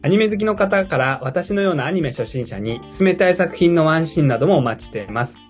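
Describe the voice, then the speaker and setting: A man's voice: on the high side, expressive, fast talker, soft background music. A man co-hosting a casual podcast, speaking in a polite, curious way. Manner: polite, curious listener who reacts and asks. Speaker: podcast co-host, self-described anime beginner.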